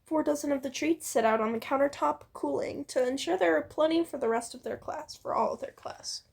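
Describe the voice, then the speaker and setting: Woman, high voice, creaky fry, playful story reading, giggly, joking asides. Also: a little fast, a little mellow. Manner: giggly, breaks off to joke. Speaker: fan fiction reader and co-host. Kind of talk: playful co-read story narration with banter.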